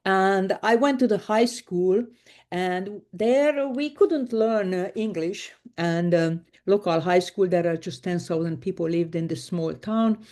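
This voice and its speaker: A woman from Hungary with a Hungarian accent, giving a keynote lecture over a video call, talking plainly with many hesitations. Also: mid-range voice. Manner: plain, unhurried, with frequent hesitations and soft laughs. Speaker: keynote speaker. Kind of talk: lecture given remotely.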